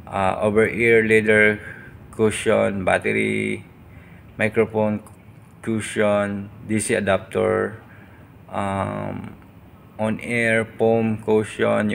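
A man in his thirties with a Filipino accent, giving a casual product review in short, halting bursts as he searches for words. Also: deep voice, creaky, a bit bright, slight background noise. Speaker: vlogger reviewing gear. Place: large tiled indoor hall. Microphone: phone at arm's length.

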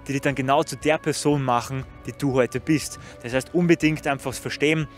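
A young man doing street interviews outdoors in a city, talking into a handheld microphone in an upbeat, promotional way. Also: medium pitch. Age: young adult.

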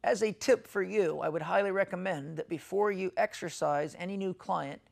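A middle-aged man giving a measured, methodical health lecture to camera in a library, speaking into a lapel mic. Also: high voice, creaky. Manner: measured, explanatory, lists examples methodically. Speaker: health educator. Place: indoors in a library with bookshelves.

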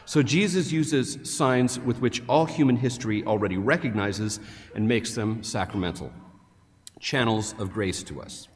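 An older man, a deacon, delivering a measured homily read from a prepared text at a lectern in a large cathedral. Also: on the low side. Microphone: headset mic, standing at a lectern. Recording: long reverb of a large church.

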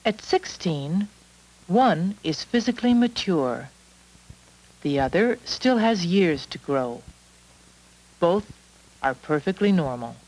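A woman reading scripted narration for an educational film in a measured, instructional manner. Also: on the low side, big pitch swings, darkish tone, low-quality recording.

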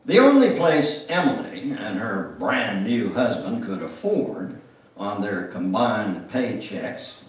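The recording is very reverberant and a little distant, as in a church. An older man reads a memoir dramatically. His delivery is slow and deliberate, at a middling pitch. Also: very animated. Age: older, 70s or above. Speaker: guest speaker reading his memoir. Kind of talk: dramatic memoir reading to a congregation. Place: church sanctuary, standing at music stand. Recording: echoey church room, distant microphone.

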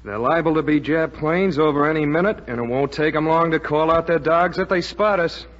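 A man's voice: a little high, brisk, fairly bright tone, natural voice. An actor playing a dutiful, worried American enlisted man, deferential, in a 1940s radio drama. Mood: urgent. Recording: muffled, echoey 1940s radio recording.

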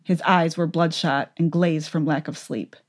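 A woman's voice: deep voice, fairly even intonation, measured pace. A woman narrator reading a fantasy tale in a scripted, dramatic audiobook style.

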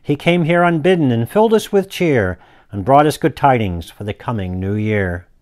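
A man voicing an eager, soft-hearted eight-year-old girl in a rhyming storybook tale. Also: medium pitch, moderate pace, close to an everyday voice. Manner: pleading, excitable.

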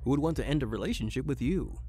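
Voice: medium pitch, expressive, quick pace, dark tone, breathy edge. An actor playing a socially awkward, formal detective in an audio drama, with precise, stiff wording. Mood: awkward, polite. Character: formal, socially awkward detective narrator, reciting data robotically. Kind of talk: audio drama acting and noir narration.

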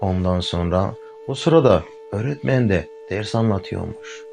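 A man gently telling a fairy tale to a child, addressing the listener directly. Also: low-pitched, lively intonation, measured pace, creaky. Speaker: storyteller. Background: faint flute music.

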